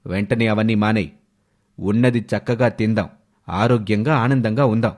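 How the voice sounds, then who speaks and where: A man's voice: on the low side, moderate pace, darkish tone, voice pushed down, close to an everyday voice. A man playing an indignant, hardworking husband who scolds and questions in a moral story cartoon. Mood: scolding. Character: indignant hardworking husband, protective elder brother. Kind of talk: animated moral story voice acting.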